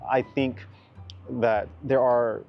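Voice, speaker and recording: A man's voice: mid-range voice, little pitch movement, slow, vocal fry, highs cut off, soft background music. A man in his thirties from the United States, an English teacher talking casually to camera, chatty and repeating words for emphasis. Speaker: vlogger.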